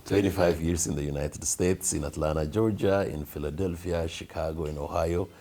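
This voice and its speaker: A middle-aged man from Ethiopia answers interview questions in a very deep, husky voice. He speaks earnestly and at a measured pace, often pausing on fillers as he gathers his thoughts. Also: fairly even intonation, warm tone. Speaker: guest, pastor and school founder.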